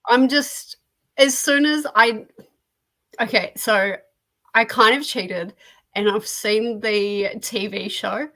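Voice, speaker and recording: A woman's voice: fairly high pitch, steady tone, slow, sharp tone, low-quality recording. A woman hosting a reading livestream, chatting casually and informally about books. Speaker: host of a reading sprint livestream.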